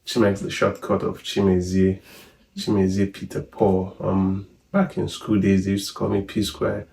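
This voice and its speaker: A man in his thirties with a Nigerian accent, chatting in a relaxed, rambling way on a family vlog. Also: low-pitched, normal speed, creaky. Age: 30s. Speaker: vlogger. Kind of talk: casual chat. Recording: echoey room from far off.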